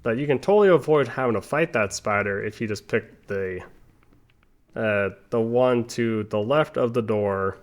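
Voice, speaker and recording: A man's voice: mid-range voice, moderate pace. A man giving casual, rambling commentary over a video game playthrough, chatting with playful asides. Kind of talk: casual gameplay commentary with tangents.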